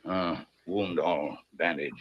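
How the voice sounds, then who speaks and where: A man's voice: low-pitched, steady tone, slow, husky, exaggerated voice. An actor playing a stoic, wounded, watchful male sidekick who speaks terse broken English in an old radio western. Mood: guarded. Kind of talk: 1940s radio western acting. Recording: muffled, noisy old radio recording.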